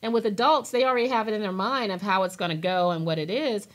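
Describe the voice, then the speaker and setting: A woman guest on a talk radio show, explaining personal finance with lots of everyday examples in a lively, teacherly way. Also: medium pitch, quick pace. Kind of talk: casual radio interview.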